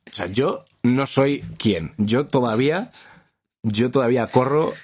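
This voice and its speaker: A man's voice: mid-range voice, measured pace, darkish tone, husky. A man in his thirties from Madrid with a Madrid accent, co-hosting a casual comedy podcast with crude, exaggerated jokes. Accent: Madrid Spanish. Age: 30s. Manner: joking, exaggerated, crude slang. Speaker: podcast co-host.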